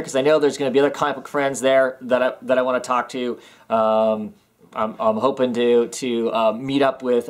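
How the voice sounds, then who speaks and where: A man in his thirties talks straight to his camera from a small room at home. He rambles in a relaxed, unscripted way and fills pauses with ums. His voice sits in an ordinary middle pitch. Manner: rambling, many ums and restarts. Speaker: comics vlogger. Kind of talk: casual rambling vlog. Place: small home room with filing cabinets, indoors.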